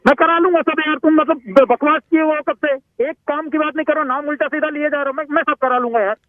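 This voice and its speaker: Fast, very high-pitched exasperated man on phone. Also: steady tone, no echo. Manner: exasperated, repeatedly correcting. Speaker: prank call victim on the phone. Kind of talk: casual phone conversation. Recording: dull, lo-fi phone line.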